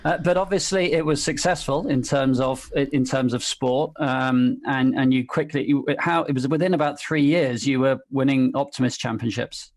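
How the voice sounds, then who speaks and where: A man's voice: medium pitch, steady tone, normal speed, hardly any pauses. A middle-aged man hosts a casual interview over a video call. He is friendly and self-deprecating, often stopping and restarting his sentences as he asks his questions. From United Kingdom. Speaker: interviewer, host.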